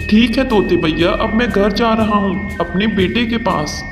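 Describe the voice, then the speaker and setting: A man's voice: sits high, unhurried. A man voicing a harsh, domineering father bird in a moral-story cartoon, with a scolding, boastful way of talking. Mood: elated, boastful. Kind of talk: Hindi cartoon voice acting. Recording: reverberant hall sound over music.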